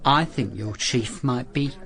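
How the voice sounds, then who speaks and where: An actor playing a fussy, chatty Roman barber who is prone to complain, in a radio drama. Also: male voice, mid-range voice, measured pace, creaky, a bit bright. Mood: inquisitive.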